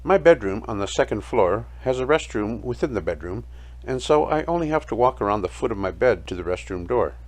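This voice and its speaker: A man narrating a first-person dramatic story in a reflective, measured way, reading from a script. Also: lowish voice, expressive. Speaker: storyteller narrating his own audio play. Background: music.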